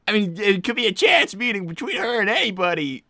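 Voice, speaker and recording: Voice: medium pitch, big pitch swings, normal speed, sharp tone, no room sound, clean studio sound. A performer voicing a bashful, boyish young male fox in live character roleplay, hesitant, waffling and stammering. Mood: awkward, bashful.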